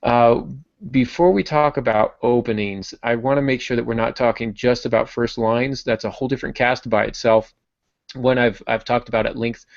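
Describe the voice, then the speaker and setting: A man's voice: mid-range voice, gravelly, no room sound, highs cut off. A man hosting a podcast, talking in a friendly, rambling way with restarts and joking asides. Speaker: podcast host. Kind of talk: casual podcast chat.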